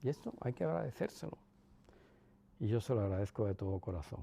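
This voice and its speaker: A man in his sixties with a Cuban accent softened by Castilian Spanish, reminiscing in an interview in a measured way, with pauses and emphatic repetition. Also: lowish voice, animated, gravelly, slightly rough. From Cuba. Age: 60s, about 64. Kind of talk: reflective personal reminiscence.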